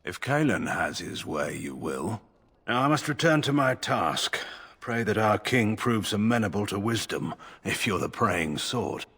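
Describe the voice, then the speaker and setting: A man playing a stern, weary middle-aged nobleman general in a fantasy game, speaking in a formal, measured, curt way. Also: on the low side, lively intonation, a bit bright, husky, heavily acted. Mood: grim. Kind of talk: fantasy video game dialogue.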